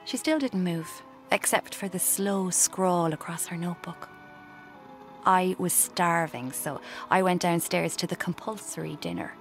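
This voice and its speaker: A young woman with an Irish accent acting a morbid, cheeky aspiring writer in a radio drama, narrating in a hushed, deliberate way. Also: lowish voice, faint music behind. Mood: wry. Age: young adult.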